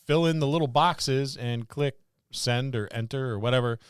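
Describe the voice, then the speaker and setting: Man, smooth clean voice, casual podcast banter, wry jokey grumbler, very dry sound. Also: medium pitch, moderate pace, no echo. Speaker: podcast co-host. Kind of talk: casual banter among co-hosts.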